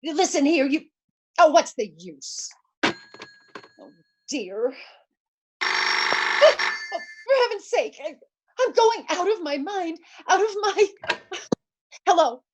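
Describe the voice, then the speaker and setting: An actress plays a high-voiced, bedridden lady in a radio thriller, nervous and imperious. Her delivery swings widely, fretful and stammering with indignation, over audible background noise. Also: full character voice. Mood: hysterical. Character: nervous, demanding bedridden woman, frantic and imperious. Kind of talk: old-time radio suspense acting.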